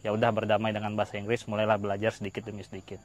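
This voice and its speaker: A man's voice: on the low side, completely flat delivery, very fast. A young man, a lecturer being interviewed, giving casual personal testimony in hesitant, piecemeal phrases with an agreeable manner. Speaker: interview guest, university lecturer.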